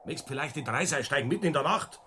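An actor playing a gruff, impatient mountain villager with a Bavarian accent in a radio drama. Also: male voice, fairly high pitch, expressive, racing, sharp tone, a little hiss or hum. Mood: blunt, irritated. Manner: blunt, dismissive.

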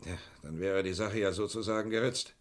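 Crime radio play: male actor as understated detective sidekick, low pitch, matter-of-fact, quietly sharp. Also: fairly quick, close to an everyday voice. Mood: dry, factual. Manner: dry, factual, understated.

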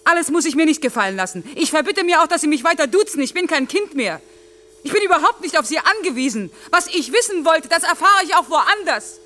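A woman's voice: high voice, expressive, fairly quick, sharp tone. An actress playing a determined, outspoken young working mother and factory worker in a German radio play. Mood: indignant, defiant. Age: young adult. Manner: assertive, outspoken.

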